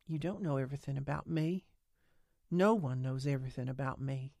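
A woman narrator acts out an anxious rural woman in an audiobook. She is guarded and defiant, with halting, insistent dialect speech. The sound is dry and close. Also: very deep voice, gravelly, warm tone, no room sound, exaggerated voice. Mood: defiant, grim. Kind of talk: audiobook character acting.

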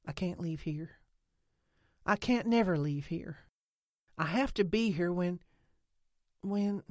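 A woman narrator acts out an anxious rural woman in an audiobook. She is guarded and defiant, with halting, insistent dialect speech. The sound is dry and close. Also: fairly low pitch, lively intonation, warm tone, no echo. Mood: stubborn, anxious. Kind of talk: audiobook character acting.

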